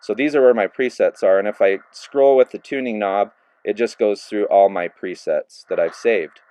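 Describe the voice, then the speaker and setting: A man giving a relaxed, casual hands-on review of a handheld radio, narrating each step with plenty of fillers as he works the controls. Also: fairly low pitch, moderate pace, smooth. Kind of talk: casual hands-on product demo.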